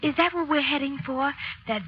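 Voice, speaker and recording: A woman's voice: sits high, moderate pace, lightly acted. A woman playing a frightened twelve-year-old girl with a plaintive, hesitant manner in a 1930s radio drama. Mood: weary, curious. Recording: noisy, muffled old radio broadcast.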